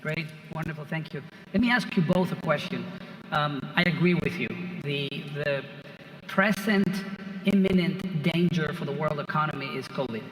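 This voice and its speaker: Higher-pitched male moderator, echoing hall. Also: moderate pace, a bit bright. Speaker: panel moderator. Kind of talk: conference panel moderation. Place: large conference hall. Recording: very echoey large hall.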